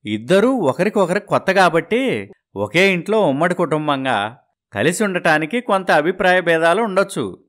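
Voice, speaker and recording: Earnest village man, slightly high-pitched male actor. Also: a bit bright. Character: village men in a moral cartoon, earnest and advising. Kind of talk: scripted cartoon dialogue.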